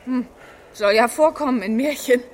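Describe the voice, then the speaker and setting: A woman plays a brisk, plucky heroine of a children's radio drama. Her voice is high and cheerful, with a wry, direct delivery over a faintly noisy background. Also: lightly acted. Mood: curious, wry. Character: plucky, chatty female fairy-tale helper, brisk and wry. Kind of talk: children's radio play acting.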